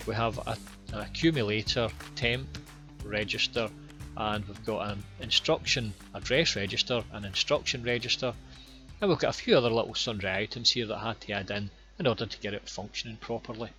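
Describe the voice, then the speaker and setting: A Scottish man guiding viewers through his online course with plain, unhurried explanations and a few ums and repeated words. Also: fairly low pitch, sharp tone, faint music behind. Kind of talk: screen-recorded course walkthrough.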